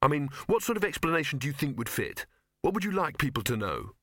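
A man's voice: on the high side, sing-song, fast talker, sharp tone, dry recording, studio quality, pitched above the performer's own voice. A man voicing an exasperated, sarcastic young man in an audiobook. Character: young man, exasperated, sarcastic, quick to smooth things over. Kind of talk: audiobook character voice.

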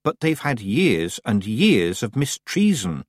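A man voicing a sensible, quietly stubborn young girl witch in a storybook, plain-spoken and politely stiff. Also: mid-range voice, sing-song, slow pace, no echo, studio quality.

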